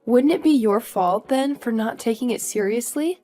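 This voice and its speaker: A woman's voice: on the high side, moderate pace, dark tone, soft background music, lightly acted. A woman playing an earnest young daughter-in-law who is polite but firm, in a scripted audio story. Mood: defensive. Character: earnest, indignant young daughter-in-law standing her ground.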